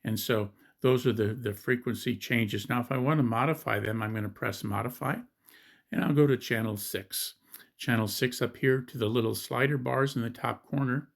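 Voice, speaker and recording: A man's voice: mid-range voice, steady tone, normal speed, creaky. A man giving a step-by-step product review and app demonstration as a gadget reviewer.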